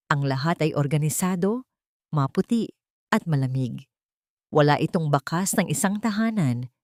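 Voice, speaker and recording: Woman telling a drama story, lowish voice, swings up and down a lot, dry close sound. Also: no echo. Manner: expressive, rises and dips for suspense. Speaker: audiobook narrator.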